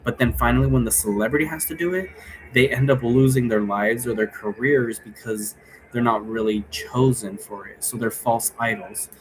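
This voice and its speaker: A man's voice: medium pitch, normal speed, low-quality recording. Man, mid-range voice, casual vlogger monologue, rambling explainer, questioning tags, faint background noise. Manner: often tags sentences with a questioning "right?". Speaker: vlogger explaining role play rules.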